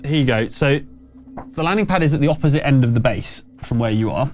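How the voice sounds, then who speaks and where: A man's voice: medium pitch, animated, fast, darkish tone, faint music behind. A man running a tabletop roleplaying game, narrating and explaining in a conversational, slightly hesitant way. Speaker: game master.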